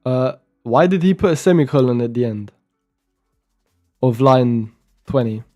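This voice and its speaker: A man's voice: medium pitch, animated, unhurried, dark tone, faint music behind. A young man from Romania, casually commenting while live coding and muttering code aloud as he types, with chatty asides. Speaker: programming streamer.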